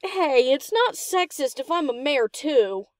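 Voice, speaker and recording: A woman's voice: high voice, lively intonation, a little slow, no room sound. Girl playing a cheeky dj pony, slangy n teasing, super bouncy delivery, dry audio story recording. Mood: brash, mock-offended. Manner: casual, teasing, brash. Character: cheeky, slangy, laid-back female DJ pony. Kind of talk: scripted audio story character acting.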